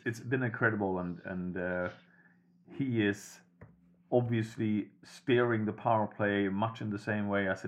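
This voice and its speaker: A man hosting a casual podcast chat about hockey, talking things through at an even, measured pace. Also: lowish voice. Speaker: podcast host.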